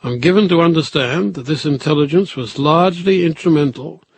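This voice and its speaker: A man with a husky voice giving thoughtful, deliberate historical commentary in an emphatic, explanatory way. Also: on the high side, slight background noise.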